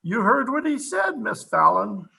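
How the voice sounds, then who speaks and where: A man playing a stern, frustrated police captain in an old time radio drama, speaking with deliberate authority, recorded over a video call. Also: high-pitched, big pitch swings, creaky, sharp tone, full character voice, rough recording. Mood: shocked, grave.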